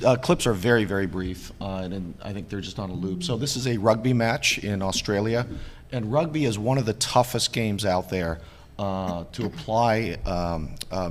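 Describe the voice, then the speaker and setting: A man on a tech panel who is losing his voice, measured and apologetic, with many fillers. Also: on the low side. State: partly lost voice. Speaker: panelist, technical account manager. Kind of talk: conference panel talk. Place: large conference hall. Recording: reverberant hall, faint noise.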